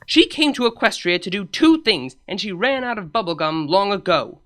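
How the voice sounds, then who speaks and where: Snarky little-sister pony princess, dry and teasing, lively voice, a woman reading her. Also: mid-range voice, moderate pace, natural voice. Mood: sarcastic. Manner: dry, teasing, matter-of-fact. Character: sarcastic younger royal mare princess sister.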